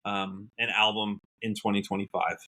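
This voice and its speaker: A man's voice: lowish voice, level tone, normal speed, pushed and bright, rough recording. A man with an American accent, chatting casually as a podcast guest. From Washington state, United States. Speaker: podcast guest, country singer. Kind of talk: casual interview chat.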